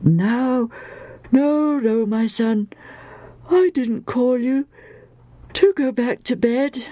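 Elderly priest played by a female storyteller, male, fatherly and puzzled, slow gentle speech, children's Bible tale. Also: a little high, dry recording, pitched above the performer's own voice.

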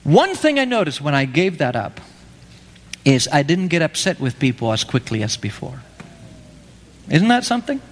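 A man from Argentina preaching an evangelistic sermon, emphatic and pleading, repeating his key phrases. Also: a little high. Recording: long reverb of a hall.

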